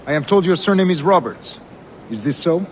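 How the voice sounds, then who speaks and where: An actor playing a commanding, calculating governor and grandmaster in a video game, speaking formally and with measured grandeur. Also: male voice, a little high, expressive, a little hiss or hum, natural voice. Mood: formal, satisfied. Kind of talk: video game cutscene acting.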